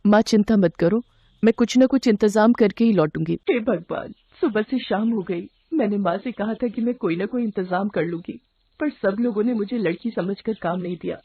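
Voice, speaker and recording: A woman's voice: medium pitch, moderate pace, husky, no room sound, muffled recording. A woman voicing a poor, determined young girl drummer in a story, speaking politely and pleadingly. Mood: sad, hopeful.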